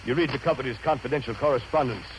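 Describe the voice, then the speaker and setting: A man's voice: medium pitch, racing, lightly acted. An actor playing a wry, brooding steamboat captain who narrates his jungle voyage in a dramatic, literary 1930s radio drama style. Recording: noisy old 1930s radio broadcast recording.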